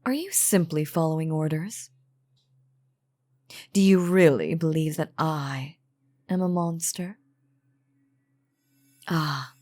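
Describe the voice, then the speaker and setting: A woman's voice: fairly low pitch, a little mellow. A woman playing an elegant ancient vampire in a scripted audio drama, teasing and coaxing with theatrical, old-fashioned formality. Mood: measured, probing. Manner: theatrical, archaic, velvety formal phrasing.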